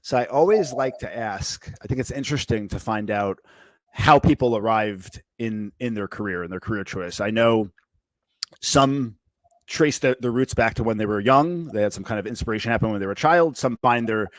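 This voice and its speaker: A man in his forties hosts a podcast and asks long, rambling questions with plenty of fillers. He speaks at a measured pace into a close headset mic. The sound is dry and close. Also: on the low side, no echo. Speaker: podcast host. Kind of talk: casual interview chat. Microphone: headset, studio.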